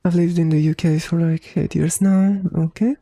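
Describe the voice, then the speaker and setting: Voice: medium pitch, normal speed, no room sound. An androgynous-sounding streamer with a Romanian accent, chatting casually with viewers and swearing freely. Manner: relaxed, swears casually, many fillers. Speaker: game streamer. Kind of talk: casual chat while streaming a game.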